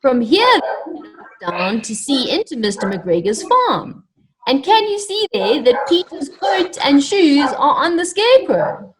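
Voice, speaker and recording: A woman reading a story aloud to children, animated and encouraging, pausing to ask them questions directly. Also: high voice, crowd noise, low-quality recording. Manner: animated, encouraging, addresses young listeners directly.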